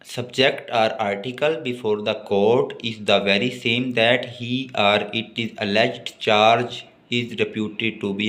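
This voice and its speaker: A man's voice: on the low side, fairly bright tone. A man with a Pakistani accent giving a law lecture, reading notes aloud and explaining them in a didactic way.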